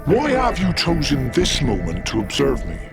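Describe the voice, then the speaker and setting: A man's voice: mid-range voice, huge pitch swings, normal speed, creaky, over loud music. An actor playing a vain, short-tempered and gullible alien invasion captain in an audio drama, imperious and bullying as he swings between bluster and awe. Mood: suspicious, menacing.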